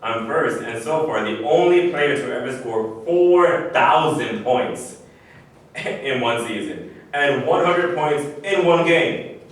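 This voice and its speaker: A man's voice: fairly high pitch, animated, slow pace. A man playing a boastful, womanizing basketball great in a staged reading. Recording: echoey room, far mic, faint noise.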